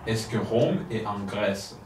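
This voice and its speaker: A man runs a French drill, patiently prompting and confirming each answer. His voice sits on the low side. He is recorded from a distance in an echoey room with a faint hiss behind. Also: expressive, slow pace, a little mellow. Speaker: French teacher. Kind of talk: language lesson drill. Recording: roomy, distant mic, faint noise.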